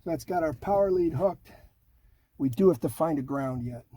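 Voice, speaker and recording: A man's voice: on the high side, moderate pace. A middle-aged man thinking aloud in a folksy, casual way as he narrates a hands-on how-to job inside a vehicle. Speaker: DIY car project host. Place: inside a vehicle cabin, doors open. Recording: clear background noise, handling clicks.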